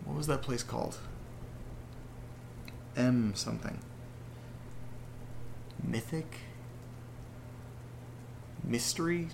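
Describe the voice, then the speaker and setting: Actor doing a gravelly young guy rambling into his podcast diary, audio drama, halting, faint noise behind him. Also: mid-range voice. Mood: wistful, wry. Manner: halting, rambling, self-deprecating. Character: rattled, rambling young man recording a personal podcast. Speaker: narrator character of a horror audio drama. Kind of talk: confessional audio diary monologue, conversational.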